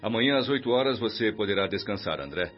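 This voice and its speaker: An actor playing a calm, kindly spirit mentor in a Brazilian radio drama, measured, reassuring and didactic. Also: male voice, on the low side, natural voice. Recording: dull lo-fi radio recording, music behind.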